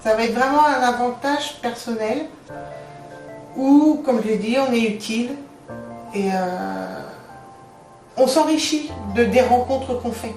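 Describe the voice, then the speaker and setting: A woman with a slightly high voice talks candidly, as a care worker interviewed about the job. The room echoes clearly, and music is audible behind the voice. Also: unhurried, pauses often, darkish tone. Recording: echoey room, distant mic, music bed.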